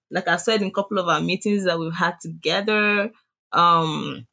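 A woman's voice: fairly low pitch, fairly bright tone. A woman with a Nigerian accent, answering interview questions hesitantly with many fillers. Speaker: guest, online seller.

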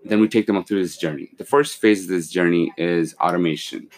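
CEO guest, forties, very low voice, steady and measured, filler-heavy. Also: male voice. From born in Pakistan, raised in London and Kuwait. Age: 40s. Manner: measured, with many fillers and restarts. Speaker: company CEO, podcast guest. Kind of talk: casual interview, explaining business.

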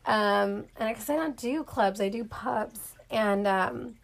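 Chatty woman with a gravelly voice. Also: a little high, takes it slowly. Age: 30s. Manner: chatty storyteller, lots of "like". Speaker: podcast co-host. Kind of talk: casual chat.

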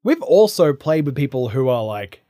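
A young man co-hosting a card game podcast, chatty with quick asides and frequent laughter. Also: mid-range voice, very animated, warm tone, dry recording, studio quality. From Australia. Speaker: podcast co-host. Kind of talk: casual chat.

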